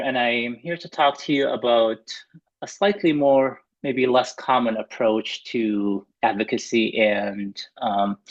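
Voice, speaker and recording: A man's voice: mid-range voice, highs cut off. A man from Lebanon giving a webinar talk over a video call. He speaks earnestly, with many hesitations and restarts. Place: room at home. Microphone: computer mic on a video call.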